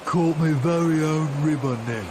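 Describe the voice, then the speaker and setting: Man playing a coarse, higher-voiced ruffian. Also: moderate pace, close to an everyday voice. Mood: leering. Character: coarse, leering male street ruffian. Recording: clear background noise, running water.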